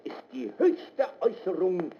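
A dull, slightly noisy recording of a solemn orator in a radio drama. His high voice swings widely as he pompously preaches sacrifice to the young. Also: sing-song, a little slow, creaky, heavily acted. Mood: solemn, exalting. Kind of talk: declamatory radio drama monologue.

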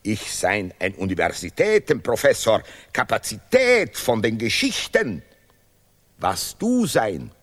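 Pompous history professor, radio play, hugely swooping pitch, slow declamation, broken grammar, long reverb. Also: male voice, wildly swooping pitch, a bit bright, exaggerated voice. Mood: boastful. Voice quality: deliberately broken, ungrammatical German. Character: pompous self-praising history professor and Nobel laureate. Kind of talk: 1970s experimental radio play, stylised declamation. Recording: reverberant hall-like studio sound.

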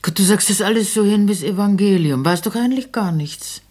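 A woman's voice: mid-range voice, a little fast, darkish tone, husky, natural voice. An actress playing a fretful, doubting older landlady and mother in a German radio play, speaking in a homely, colloquial way.

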